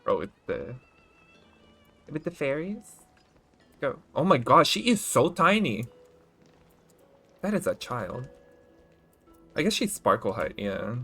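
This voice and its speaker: A man's voice: mid-range voice, sing-song, takes it slowly, vocal fry, long pauses, pushed and bright, breathy edge. A man giving casual live game commentary, informal and a little hesitant, with muttered asides. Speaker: game streamer. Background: game music.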